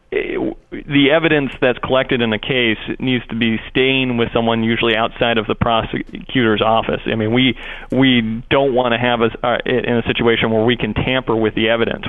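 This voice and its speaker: Man explaining procedure, flat delivery, muffled phone. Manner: hesitant, wordy, procedural explaining. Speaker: prosecutor. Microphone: telephone call. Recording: muffled low-bandwidth phone line.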